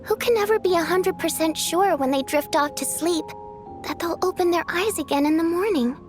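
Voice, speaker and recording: Gentle young game heroine, high voice, soft, wistful, caring. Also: female voice, moderate pace, over music. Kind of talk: video game voice acting.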